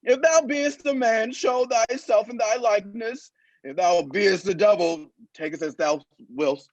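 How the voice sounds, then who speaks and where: A man's voice: high-pitched, measured pace, no room sound, lo-fi sound. An actor playing a pompous, bossy drunkard who lords it over his companions in a Shakespearean radio play. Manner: pompous, commanding, archaic speech. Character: blustering, bossy drunken man playing lord.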